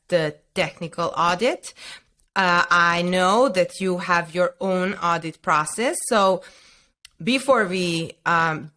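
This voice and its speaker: A woman hosting a podcast interview, introducing her guest and asking questions in a polite, measured way with some fillers. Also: lowish voice, sharp tone. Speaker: podcast host.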